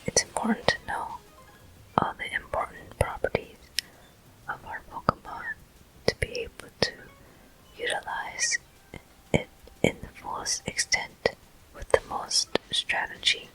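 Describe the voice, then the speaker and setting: A person whispering ASMR game commentary in a deliberate manner, pausing between short phrases. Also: very high-pitched, wildly swooping pitch, pushed and bright, rough recording. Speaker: gameplay host.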